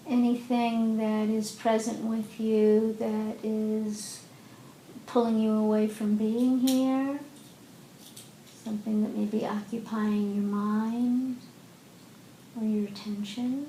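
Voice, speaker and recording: A woman leading a guided meditation as a teacher, speaking gently and unhurriedly with long pauses in an indoor room. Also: little pitch movement. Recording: roomy echo, faint rumbling background noise.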